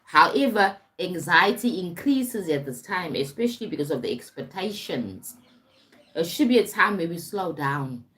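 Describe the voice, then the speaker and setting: Low-pitched South African woman, emphatic life coach. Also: expressive, normal speed, a bit bright. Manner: emphatic, repeats phrases, often checks with "right?". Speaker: life coach and motivational speaker livestreaming. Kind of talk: informal motivational livestream talk.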